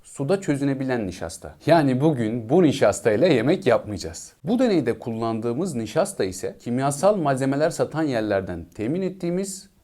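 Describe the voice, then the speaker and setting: A man explaining a science experiment in a measured way. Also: mid-range voice, very animated, warm tone.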